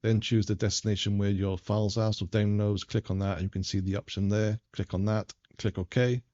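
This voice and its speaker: Low, mellow male tutorial narrator, muffled recording. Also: flat delivery, a little fast. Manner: plain, methodical instruction. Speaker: software tutorial presenter. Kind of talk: step-by-step screen tutorial narration. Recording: dull, lo-fi voice-over recording.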